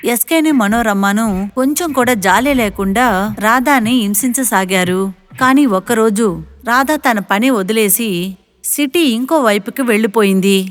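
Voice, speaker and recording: A woman narrating an animated moral story in a scripted storytelling style. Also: on the high side, long reverb tail.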